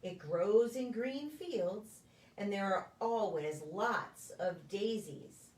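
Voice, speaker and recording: A woman reading a children's picture book aloud in a deliberate, sing-song storytelling way for preschoolers. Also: medium pitch, animated, darkish tone. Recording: echoey room, distant microphone.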